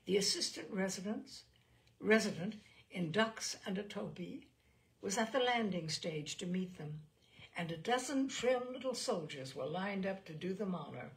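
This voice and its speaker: Expressive woman narrator with a creaky edge. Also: medium pitch, normal speed. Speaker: storyteller reading fiction aloud. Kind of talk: literary audiobook narration.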